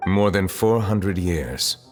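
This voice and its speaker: A man voicing a solemn, reserved, centuries-old chief justice in a video game, speaking formally and with measured stateliness. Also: low voice, lively intonation. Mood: grave. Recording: long reverb, music behind.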